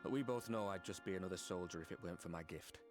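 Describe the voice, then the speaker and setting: Actor as resolute young game soldier, humble and heartfelt, lowish voice. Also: male voice, fast, close to an everyday voice. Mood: earnest resolve. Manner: plain-spoken, heartfelt, resolute. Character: earnest, self-doubting but determined young male soldier. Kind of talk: video game voice acting. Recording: loud music underneath. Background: orchestral game music.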